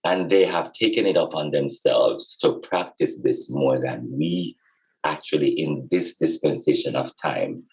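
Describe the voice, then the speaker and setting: A man with a very low voice speaks slowly and emphatically, like a teacher in an informal talk. He repeats phrases to stress his points. The recording is a little dull and lo-fi. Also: darkish tone. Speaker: podcast speaker and spiritual teacher. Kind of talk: informal explanatory talk on spirituality and healing.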